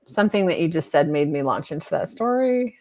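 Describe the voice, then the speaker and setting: A woman's voice: low voice, lively intonation, a little fast, darkish tone. A middle-aged woman co-hosting a podcast, chatting casually and rambling in a self-mocking way. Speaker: podcast co-host. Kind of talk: casual chat over a video call.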